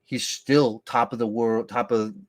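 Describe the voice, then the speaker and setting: A man's voice: medium pitch, steady tone, measured pace. A man hosting a podcast, chatting casually about films in an emphatic way, often thinking aloud. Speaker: podcast host. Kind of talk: casual film discussion.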